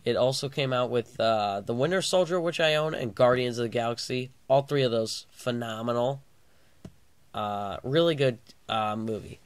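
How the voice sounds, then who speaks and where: A man's voice: medium pitch, normal speed, clear, resonant tone, no echo. Guy with a stuffed-up nose and scratchy throat doing a chatty movie review, lots of uhs, dry close sound. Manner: rambling, lots of uh and restarts. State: congested and sore-throated. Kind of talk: casual movie review vlog.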